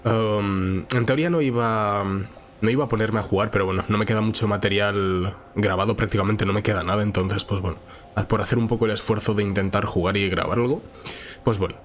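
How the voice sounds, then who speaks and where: Congested, nasal man with a lowish voice. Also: steady tone, a little fast, a little hiss or hum. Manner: informal, chatty, thinking aloud. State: sore throat from a cold. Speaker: gaming channel host. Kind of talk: casual Let's Play commentary. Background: game music.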